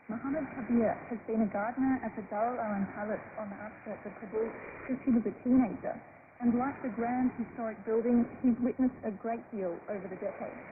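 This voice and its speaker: A woman's voice: fairly high pitch, fairly even intonation, brisk. A woman presenting a radio magazine programme, reading scripted introductions. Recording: noisy tinny shortwave radio broadcast. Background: shortwave static.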